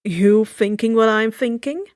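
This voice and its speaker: A woman voicing a calm, soft-spoken middle-aged husband in a story reading. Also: fairly high pitch, unhurried, no echo, clean studio sound, natural voice. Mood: knowing.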